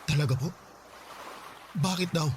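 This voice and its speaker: An actor playing a humble, polite young father in a radio drama, soft-spoken and respectful. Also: on the high side, unhurried, background noise, natural voice. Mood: earnest.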